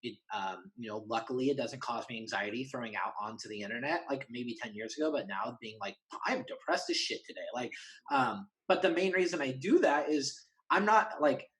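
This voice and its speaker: A man's voice: mid-range voice, fairly quick, fairly bright tone, poor audio. A man speaking as an interview guest in a casual, candid, earnest way, often restarting and rephrasing his sentences.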